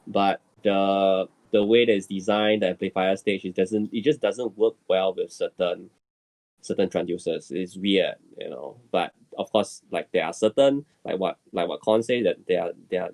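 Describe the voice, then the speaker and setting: A man on a podcast panel explaining casually and haltingly, repeating words as he goes. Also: deep voice, vocal fry, a little mellow, no echo, low-quality recording.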